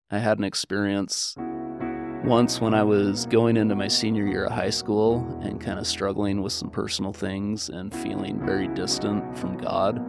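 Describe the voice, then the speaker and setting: Low, gravelly American man explaining earnestly. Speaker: interview guest, historian. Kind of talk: conversational explaining and personal storytelling.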